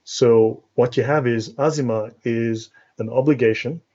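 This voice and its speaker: A man's voice: mid-range voice, fairly even intonation, a little slow, mellow, highs cut off. A South African man speaking as an interview guest, explaining things in an academic, didactic way and checking that he is understood. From South Africa, born and raised in Cape Town. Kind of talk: explanatory live interview talk.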